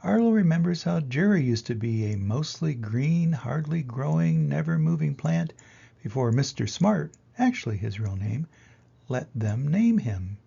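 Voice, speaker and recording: An older man reading a children's picture book aloud in an expressive way, with dramatic pauses and emphasis. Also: mid-range voice, gravelly, husky, dull audio. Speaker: reader of children's picture books. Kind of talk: animated storybook read-aloud.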